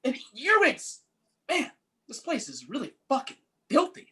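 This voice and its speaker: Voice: high-pitched, wildly swooping pitch, unhurried, bright, forceful tone, full character voice. A performer playing a baffled, kindly servant in a comic fairytale audio drama, speaking in a hesitant, stammering way. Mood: amazed. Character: baffled, kindly servant who hears animals' thoughts.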